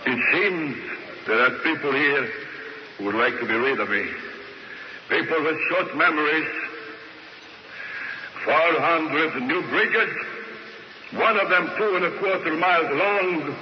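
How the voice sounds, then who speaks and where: An actor playing a proud, imperious Italian dictator in a radio drama, with a pompous, declamatory delivery. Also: male voice, fairly high pitch, unhurried, long pauses, close to an everyday voice. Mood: boastful, indignant. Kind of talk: American radio drama acting. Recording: noisy echoing hall, dull radio broadcast.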